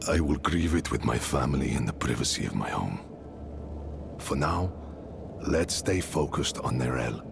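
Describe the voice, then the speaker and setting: A man's voice: rumbling low, animated, normal speed, creaky, noisy background, full character voice. An actor playing a wry, fatherly middle-aged mercenary leader and smith in a video game. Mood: somber. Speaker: game character. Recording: game soundtrack music behind. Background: game music.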